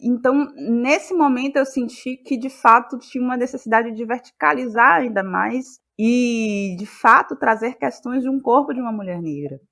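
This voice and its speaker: A woman talking as an interview guest, explaining her work in a thoughtful, expressive conversational way. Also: on the high side, moderate pace, vocal fry, hardly any pauses. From Brazil, Bahia. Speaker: guest, playwright and actress.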